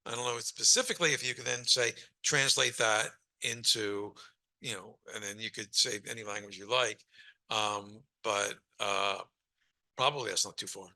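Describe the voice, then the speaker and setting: A man on a conference panel speaks casually and loosely. His voice is very bright, and he keeps adding "you know" as he talks. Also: lowish voice, normal speed, rough recording. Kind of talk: panel discussion.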